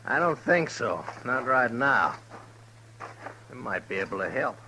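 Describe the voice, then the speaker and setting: A man's voice: medium pitch, big pitch swings, a little slow, exaggerated voice. An American man playing a vengeful, self-righteous outlaw gang leader in a radio Western, taunting and threatening in a rural drawl. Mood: cool, taunting. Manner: taunting, swinging from quiet threat to bark. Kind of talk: 1950s Western radio drama acting. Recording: noisy old radio recording.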